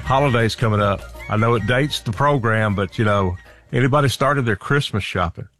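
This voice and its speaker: A man's voice: lowish voice, normal speed, fairly bright tone, soft background music. A middle-aged man hosting a casual talk show, chatting in a folksy, easygoing way and chuckling at his own jokes. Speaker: host. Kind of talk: casual radio show chat.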